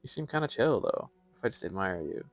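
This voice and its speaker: A man's voice: fairly low pitch, very animated, brisk, no echo, faint music behind. A young American man chatting casually on a live stream while playing a game and reading its lore aloud. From United States.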